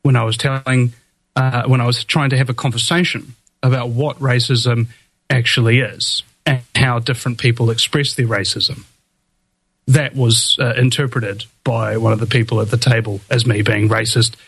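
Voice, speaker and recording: A man's voice: mid-range voice, flat delivery, normal speed, gravelly, a bit bright, slightly rough, dry recording, rough recording. A man hosting his own show, ranting defiantly in a solo monologue full of fillers and restarts, with a somewhat whiny voice. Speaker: podcast host.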